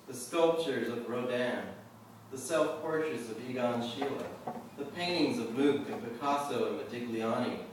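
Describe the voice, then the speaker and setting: A man gives a live poetry reading in a very reverberant room, picked up from some distance. His medium-pitched voice is deliberate on the verse and loosens into offhand, casual talk. There is faint noise behind him. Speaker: poet reading own work. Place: live reading venue, indoors. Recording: roomy echo, distant mic, faint noise.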